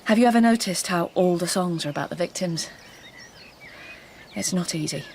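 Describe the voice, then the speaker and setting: A woman's voice: lowish voice, moderate pace, close to an everyday voice. An actress playing an awkward, self-deprecating lonely mother in a naturalistic radio drama, wry and nervous. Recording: clearly noisy ambience with birdsong.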